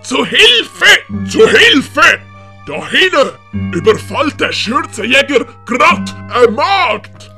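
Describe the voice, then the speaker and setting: A scheming, mocking gentleman villain, with a very bright, forceful voice. He speaks slowly and theatrically, with lively swings of pitch, in a comic audio play. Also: faint music behind, heavily acted. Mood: feigned panic.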